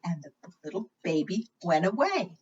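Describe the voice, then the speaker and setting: A woman's voice: lowish voice, sing-song, normal speed, a little mellow, slight background noise. A woman leading a preschool storytime, reading aloud expressively and putting questions to her young listeners. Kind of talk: read-aloud for young children. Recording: dull lo-fi sound.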